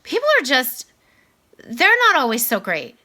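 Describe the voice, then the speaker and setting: Give the storybook a concerned mother read by a woman, serious and earnest. The voice is bright, with a gently probing way of talking to a child. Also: high-pitched, wildly swooping pitch, unhurried, pitched above the performer's own voice, exaggerated voice. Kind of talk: children's novel read aloud.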